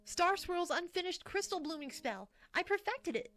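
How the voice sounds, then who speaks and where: A woman's voice: very high voice, animated, normal speed, a bit bright, soft background music, exaggerated voice. A woman voicing an ambitious, jealous young female pony student in an audio drama, smug and grumbling. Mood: smug, boastful.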